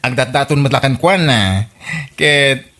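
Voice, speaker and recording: A man with an Ilocano accent playing a nosy, teasing, excitable village busybody in a radio comedy drama. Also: medium pitch, measured pace.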